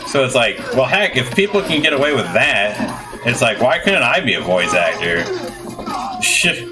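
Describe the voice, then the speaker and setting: Male gaming host, mid-pitched, rambling and opinionated, game music loud underneath. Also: sing-song, moderate pace. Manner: rambling, opinionated, self-correcting. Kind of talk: casual let's play commentary.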